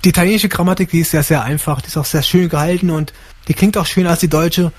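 A man raised bilingual, a guest on a radio show, answering in a relaxed way with short replies and easy laughs. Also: on the high side, fast talker. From Germany. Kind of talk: radio interview.

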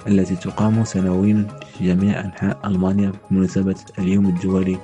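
A man reads scripted news very quickly in a low, mellow voice. His delivery stays even, with music playing behind him. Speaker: news reader.

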